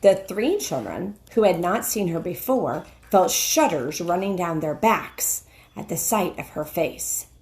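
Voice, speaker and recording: A middle-aged woman reading a children's story aloud as a teacher, in a deliberate, dramatic narrating style. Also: on the low side, expressive, darkish tone. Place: small wood-ceilinged room indoors. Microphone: handheld phone at arm's length.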